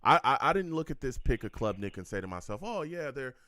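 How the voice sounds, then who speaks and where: A man hosting a casual sports talk show, lively and prone to repeating words as he starts his thoughts. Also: medium pitch, fast, no echo, clean studio sound. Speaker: podcast host.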